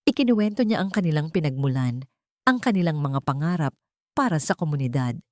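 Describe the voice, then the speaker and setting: A woman's voice: low-pitched, big pitch swings, brisk, no echo. A woman storyteller giving scripted, dramatic narration of a love story.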